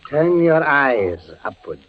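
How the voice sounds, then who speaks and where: A slow, cold, sadistic inquisitor. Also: male voice, fairly high pitch, very animated. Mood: cold, menacing. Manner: deliberate, ominous, mock-courteous. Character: cold, taunting male inquisitor, calm and sadistic. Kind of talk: old-time radio horror drama acting. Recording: thin, lo-fi vintage radio, faint noise.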